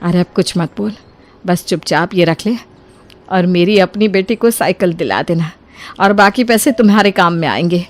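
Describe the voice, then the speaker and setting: A woman playing a kind, generous older mother-in-law in an acted moral story, speaking in an expressive, colloquial, motherly way. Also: on the low side, normal speed, lightly acted. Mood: caring.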